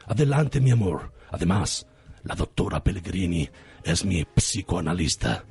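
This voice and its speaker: Melodramatic doting leading man, low voice, florid delivery, radio parody. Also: a little mellow, husky, full character voice. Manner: courtly, florid. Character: doting, melodramatic telenovela gentleman lover. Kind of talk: parody radio soap opera acting.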